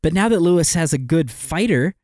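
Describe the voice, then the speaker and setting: A man co-hosting a casual podcast, telling stories in a wry, animated way. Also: a little high, brisk, dry recording, clean studio sound. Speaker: podcast co-host. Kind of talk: casual chat.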